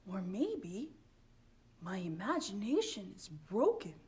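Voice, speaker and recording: A woman reading aloud as a gentle young owl, worried and a bit glum. He talks slowly and hesitantly, pitched lower than the rest of the story. His voice still rises and falls a lot. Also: big pitch swings.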